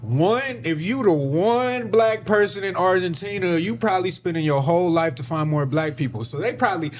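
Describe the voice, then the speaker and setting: Blunt man, slightly high voice. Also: moderate pace, slight background noise. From United States. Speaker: stream co-host. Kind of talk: casual stream discussion.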